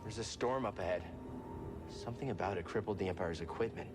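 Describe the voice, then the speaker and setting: An actor playing a thoughtful young Jedi hero in a video game, sincere and understated. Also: male voice, on the low side, fast, over music, natural voice. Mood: earnest. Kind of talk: naturalistic video game acting.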